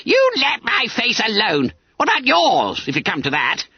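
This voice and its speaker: A man's voice: very high voice, sing-song, a little fast, slightly rough, dry recording, dull audio, voice pushed up, full character voice. A man voicing a fat, bumbling, indignant schoolboy who stammers and splutters in a story reading.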